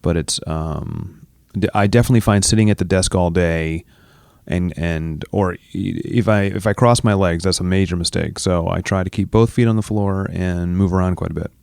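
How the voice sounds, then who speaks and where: A man's voice: sits low, steady tone, normal speed, creaky, a little mellow, clean tone, no room sound, clean studio sound. A man co-hosting a running podcast, chatting in a teasing, rambling way. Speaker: podcast co-host. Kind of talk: casual chat. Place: studio.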